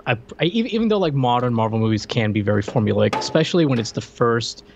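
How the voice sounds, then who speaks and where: Man, podcast co-host, casual movie chat, halting, stammers at the start of sentences, slightly muffled lo-fi sound. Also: medium pitch, lively intonation. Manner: halting, stammers on first words.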